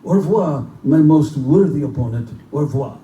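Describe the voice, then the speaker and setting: Man playing a mellow, menacing aristocratic general. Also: on the high side, expressive, moderate pace, lightly acted. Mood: gleeful, courtly. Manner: urbane, pompous, condescending. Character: genteel, menacing aristocratic general who hunts men for sport. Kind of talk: live theatrical horror drama. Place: live performance in a bookstore. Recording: distant, echoey live room recording with noise.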